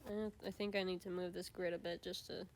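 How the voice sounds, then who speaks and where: A woman's voice: on the low side, level tone, a little fast, a little hiss or hum. Sniffly woman streaming, rambly casual chat, lots of likes and restarts. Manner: rambling, many restarts and fillers, laughs often. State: sniffly, stuffed nose. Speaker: streamer host. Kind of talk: casual livestream chat while drawing.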